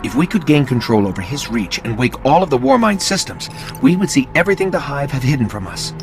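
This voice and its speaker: An actor playing a small, loyal robot companion, anxious and wry, with a quippy, inquisitive way of talking in a video game. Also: male voice, medium pitch, big pitch swings, a little fast, gravelly. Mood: analytical. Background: loud music.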